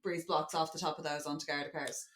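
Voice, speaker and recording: A woman's voice: deep voice, flat delivery, fast, a bit bright. A woman with an Irish accent co-hosting a podcast, explaining things in casual chat. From Ireland. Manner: chatty, explanatory. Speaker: podcast co-host.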